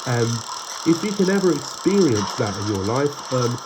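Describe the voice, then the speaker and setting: Guy with a blocked-up nose rambling over his bike game, ums everywhere, middling voice, gear and ratchet noise loud behind him. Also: mid-range voice, normal speed. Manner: rambling, full of fillers and restarts, with sudden excited outbursts. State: stuffy nose. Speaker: gaming channel host. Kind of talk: gameplay commentary.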